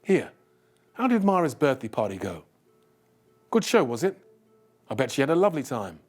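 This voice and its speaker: A man's voice: mid-range voice, sing-song, normal speed, soft background music. A man reading a novel and voicing a chatty, placating younger British man in a conversational, coaxing manner.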